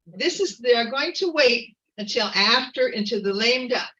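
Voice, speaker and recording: A woman giving an earnest, informal activist talk at a community meeting, halting with fillers and restarts. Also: on the high side, animated, pushed and bright, distant mic, muffled recording. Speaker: activist speaker.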